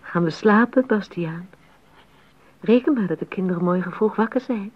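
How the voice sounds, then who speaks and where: A woman's voice: medium pitch, moderate pace, husky, lightly acted. An actress performs an affectionate wife and mother in a 1980s radio play. Her voice has a slight huskiness and a tender, intimate delivery with a light tease in it. The sound is dull and muffled. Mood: tender, cosy. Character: affectionate, gently teasing composer's wife and mother. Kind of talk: 1980s radio drama acting.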